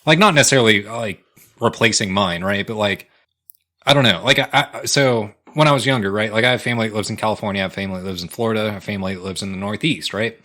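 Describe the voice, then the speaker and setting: A man's voice: on the low side, quick pace, sharp tone. An American man in his twenties co-hosting a podcast with casual, profane, slangy comedic chat. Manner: profane, slangy, often breaking into laughter. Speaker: podcast co-host.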